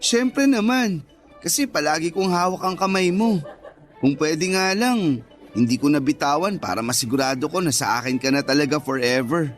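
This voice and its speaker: An actor playing a sweet, devoted young boyfriend in a Tagalog radio romance, earnest and affectionate. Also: male voice, on the high side, normal speed, lightly acted. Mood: loving. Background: faint music.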